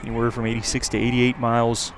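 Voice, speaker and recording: A man's voice: mid-range voice, monotone, fast, rough recording. A man with an American accent doing live radio play-by-play commentary of a baseball game as announcer. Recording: clear background noise from outdoor ballpark.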